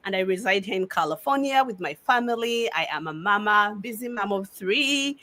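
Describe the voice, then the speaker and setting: A woman from Uganda joins a live video call chat as a guest. She talks in an excitable, gushing way. Her voice is bright and swoops up and down a lot. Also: medium pitch, normal speed.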